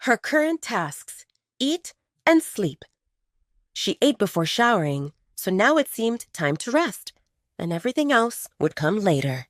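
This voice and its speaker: A woman reading a romance story as scripted audiobook narration, in an expressive, dramatic storytelling manner. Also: mid-range voice, measured pace, no echo, studio quality.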